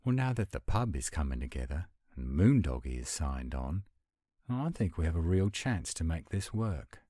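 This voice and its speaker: A man voicing an affectionate, gruff-but-sweet werewolf boyfriend in a tender, intimate romantic audio roleplay. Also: rumbling low, big pitch swings, moderate pace, warm tone, no room sound, studio quality, heavily acted. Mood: hopeful, affectionate.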